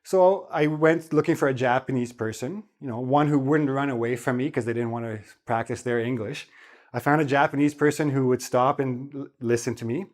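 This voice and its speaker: Male English teacher, mid pitch, loose conversational delivery with frequent check-ins. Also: a little fast. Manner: relaxed, chatty, repeats "okay?" to check in. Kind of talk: spoken English lesson.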